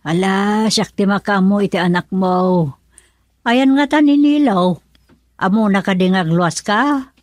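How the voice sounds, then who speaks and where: A woman's voice: mid-range voice, unhurried, darkish tone, no room sound, lightly acted. A woman playing a defensive, scolding older mother-in-law in a radio drama, with an Ilocano Filipino accent. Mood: brisk. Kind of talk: melodramatic radio drama acting.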